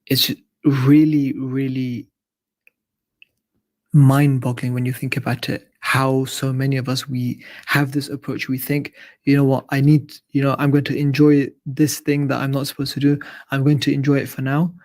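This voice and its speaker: A man's voice: mid-range voice, little pitch movement, normal speed, darkish tone. A man giving an earnest live radio sermon, building his points through repeated lists. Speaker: radio presenter giving an Islamic talk.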